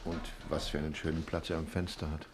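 Very deep-voiced, measured doctor. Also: male voice, level tone, noisy background. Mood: grave, reassuring. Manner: measured, formal, careful. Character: grave, measured male doctor, calmly reassuring. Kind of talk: German radio play acting.

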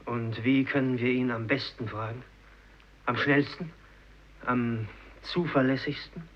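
A man acting a sceptical fisherman in a vintage German radio play. His medium-pitched voice is bitter and probing. The recording sounds dull and old. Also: fairly even intonation, a little slow, lightly acted. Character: bitter, doubting male fisherman challenging faith.

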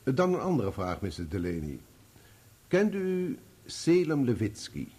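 A formal male examiner in a radio courtroom drama. His voice is husky and dark, with lively swings in pitch as he puts deliberate, probing questions. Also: heavily acted. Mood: probing, courteous. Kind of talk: Dutch radio play acting.

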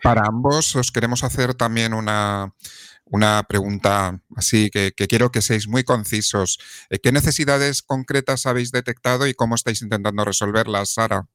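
A man's voice: lowish voice, moderate pace, fairly bright tone, dry recording. Man hosting radio, dry close sound. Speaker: radio host. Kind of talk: live community radio interview.

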